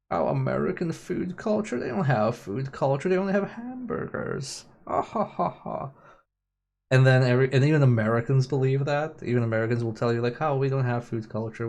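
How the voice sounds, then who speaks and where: A man's voice: mid-range voice, gravelly, a little mellow. A man streaming a game with casual, opinionated and rambling chat. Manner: opinionated, rambling, mimics others mockingly, swears. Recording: game music behind the voice. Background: music from a racing game.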